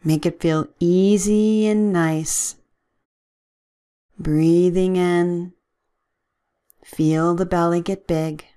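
Very slow, lowish-voiced woman guiding meditation. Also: fairly even intonation. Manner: gentle, soothing, measured instructions with long pauses. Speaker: guided relaxation instructor.

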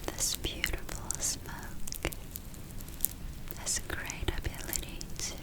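Very slow whispering ASMR woman. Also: echoey room. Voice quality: whispered, unvoiced. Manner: soothing, slow, pausing between short phrases. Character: gentle witch giving a magic spa treatment. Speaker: ASMR performer playing a witch giving a spa treatment. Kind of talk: ASMR roleplay.

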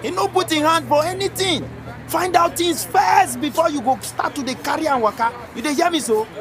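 A man playing a stubborn, excitable village rumour-monger in a Pidgin radio drama, with a Nigerian accent and an argumentative, insistent manner. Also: very high voice, normal speed. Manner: argumentative, insistent, repeating himself. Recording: busy crowd and music behind.

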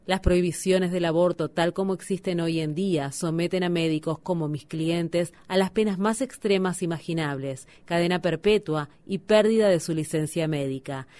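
A woman's voice: on the low side, a little mellow. A woman reading scripted voice-over translations of statements for a news broadcast.

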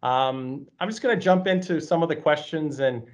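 A man's voice: on the high side, normal speed. A man hosting an interview show, a campus president, friendly and loose with fillers and repeated words. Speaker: host, college campus president. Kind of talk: hosted interview. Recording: slightly dull lo-fi sound.

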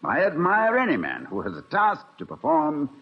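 An actor playing a witty, wry middle-aged college president in 1950s radio comedy, speaking in dry, erudite, formal phrases. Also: male voice, fairly high pitch, huge pitch swings, moderate pace. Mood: measured, wry. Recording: muffled vintage radio recording.

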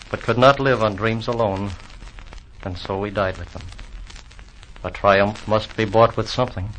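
An actor playing a weary, solemn fallen American soldier reciting verse in a 1940s radio drama. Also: male voice, fairly low pitch, a bit bright. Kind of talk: 1940s radio acting, blank verse monologue. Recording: faintly noisy 1940s radio recording.

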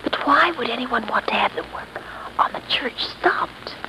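A woman's voice: fairly high pitch, lively intonation, normal speed, whispered, natural voice. An actress playing a sleuth's polite, anxious young female companion in 1930s radio style. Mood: uneasy. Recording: noisy vintage 1930s radio recording.

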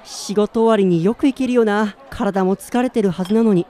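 A man's voice: sits high, moderate pace, warm tone, some chatter behind, natural voice. An actor playing a listless, self-doubting man in his twenties in a radio drama, plain-spoken in narration and dialogue. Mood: casual, surprised. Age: 20s.

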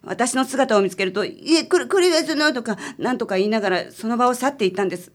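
A woman's voice: fairly high pitch, expressive, fast talker. A woman playing a worried married client in a radio drama, speaking politely, hesitantly and apologetically. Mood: frightened. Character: timid, worried married woman seeking detective's help.

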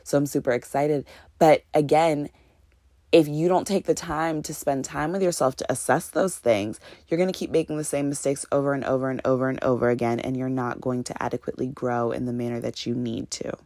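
A woman's voice: extremely low pitch, steady tone, fairly quick, warm tone, dry recording, clean studio sound. A woman in her late twenties hosting a solo motivational podcast, talking straight to her listeners as family in an upbeat, preachy way. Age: 20s. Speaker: podcast host and business consultant.

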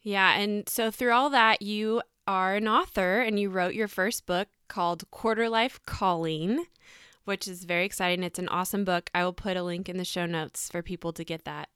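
A woman's voice: medium pitch, moderate pace, a bit bright, clear, resonant tone, no echo, clean studio sound. A woman hosting a podcast who interviews her guest with enthusiasm and encouragement and laughs often. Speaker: podcast host. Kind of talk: warm conversational interview.

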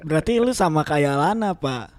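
A man's voice: on the high side, lively intonation, normal speed, over a noisy crowd. A man with a Jakarta Indonesian accent chatting casually on a podcast in slangy speech.

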